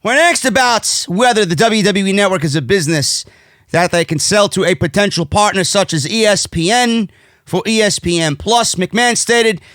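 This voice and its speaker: A man's voice: high-pitched, moderate pace, a bit bright, no echo, clean studio sound. A man with a New York accent delivering a brash, profane, indignant rant as an opinionated wrestling commentary host, hammering his points with emphasis. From United States.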